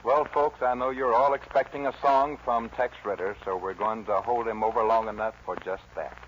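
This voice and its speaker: A man's voice: on the low side, steady tone, moderate pace, fairly bright tone. An American man hosting a 1940s radio show in a folksy announcing style. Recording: dull 1940s radio recording with hiss.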